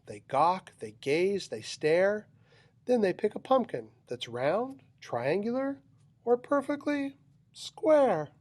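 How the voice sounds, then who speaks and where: A man with a slightly high voice reads a children's storybook aloud. He takes his time, giving the rhyming lines a dramatic, rhythmic delivery. The recording is close and dry. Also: darkish tone, dry recording. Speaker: storybook reader. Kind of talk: expressive children's picture book read-aloud.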